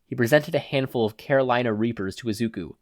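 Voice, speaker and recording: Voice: medium pitch, fast, darkish tone, no room sound, studio quality. A narrator reading fan fiction as a scripted audiobook.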